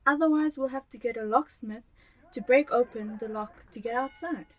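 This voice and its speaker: A woman's voice: on the high side, moderate pace, lightly acted. A woman acting a fussy, loving elderly mother and wife in an amateur radio drama. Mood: calm, chiding.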